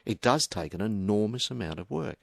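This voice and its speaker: Low-voiced Australian man hosting radio. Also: animated, gravelly, no echo, clean studio sound. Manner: stammering, halting questions with repeated words. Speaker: radio interviewer and host. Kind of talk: live radio interview, casual chat.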